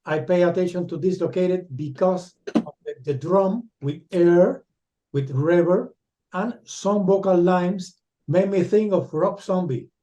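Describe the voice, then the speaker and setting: A Spanish-speaking man interviews in English, picking his words with care. His voice sits high and sounds mellow and soft. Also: a little slow, lo-fi sound. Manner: non-native phrasing, hesitant. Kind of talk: interview questions.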